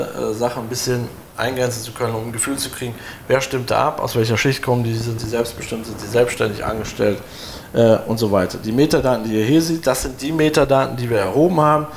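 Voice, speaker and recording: A man's voice: mid-range voice, vocal fry, a little hiss or hum. A man in his forties, seated indoors, explaining poll results to viewers in a loose, chatty way with many fillers and restarts. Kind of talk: walking viewers through a results screen. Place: room with window blinds, indoors.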